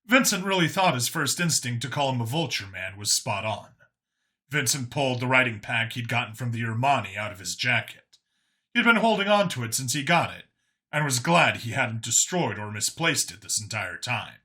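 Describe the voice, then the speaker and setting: A man's voice: mid-range voice, animated, moderate pace, pushed and bright. A man reading a science fiction story as a scripted audiobook narrator.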